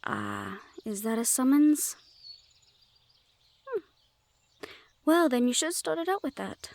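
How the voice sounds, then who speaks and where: Casting: woman to play haughty female Fae sentry for fantasy audio roleplay, higher-pitched voice, playful, sardonic, guarded. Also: expressive, slow pace, vocal fry, darkish tone, heavily acted. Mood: curious, sardonic. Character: teasing, wary Fae woman guarding her forest clan's border.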